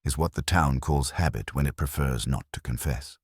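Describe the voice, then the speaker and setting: A man voicing a composed, dignified older society woman, speaking in a formal, deliberate, arch way. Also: a bass voice, slightly rough, dry recording, clean studio sound. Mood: measured, arch.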